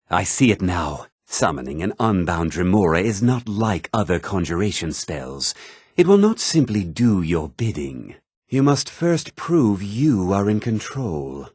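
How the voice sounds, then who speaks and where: A husky, lowish-voiced male mage from a fantasy game. He speaks formally and deliberately, with a lively rise and fall, like a scholar of magic. The recording is dry and close. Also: dry recording, full character voice. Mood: solemn, instructive. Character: learned, measured male mage of a magic college. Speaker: video game character. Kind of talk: scripted fantasy game dialogue.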